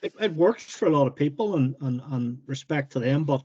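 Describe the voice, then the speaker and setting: A man being interviewed as an author, talking in a relaxed, plain-spoken and modest way about his writing. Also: mid-range voice, brisk, husky, no room sound, dull audio. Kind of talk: casual interview chat.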